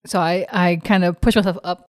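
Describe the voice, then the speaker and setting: A woman from the Chicago area with a Midwest accent touched by a Texas drawl, talking fast, slurring words and laughing often in casual tabletop podcast banter. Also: on the low side, fairly even intonation, a little mellow. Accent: Chicago-area Midwest with a hint of Texas drawl. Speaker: podcast co-host and tabletop player. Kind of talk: casual blooper-reel banter.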